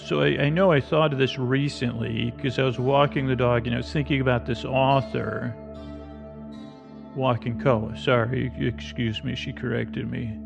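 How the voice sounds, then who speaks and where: A man with a gravelly voice hosting a sleep podcast in a meandering, halting monologue that keeps doubling back. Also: mid-range voice. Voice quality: gravelly, creaky. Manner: meandering, halting, repeats and trails off. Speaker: podcast host. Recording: dull muffled sound under clear music.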